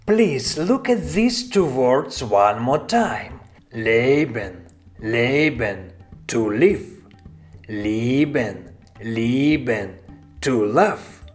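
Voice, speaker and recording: Male language tutor for scripted beginner lessons: extremely unhurried, instructional, with animated intonation and deliberate repetition. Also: medium pitch, faint music behind. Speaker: language teacher. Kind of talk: scripted language lesson with repeated example phrases.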